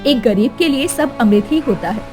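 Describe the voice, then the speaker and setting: A woman's voice: fairly high pitch, animated, normal speed, over music, close to an everyday voice. A woman acting a poor, long-suffering wife and mother in a cartoon moral story, tender, plaintive and emotional. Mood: gentle, resigned.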